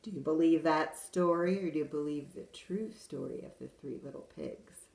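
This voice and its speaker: Brief: encouraging woman librarian, lowish voice, echoey room. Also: moderate pace, vocal fry. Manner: gentle, encouraging. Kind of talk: reading a picture book aloud to children. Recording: roomy echo.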